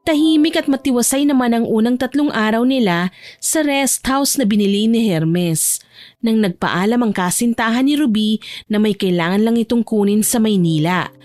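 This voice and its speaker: A woman with a fairly high voice narrates a scripted romance story, audiobook style. Soft music runs behind her narration.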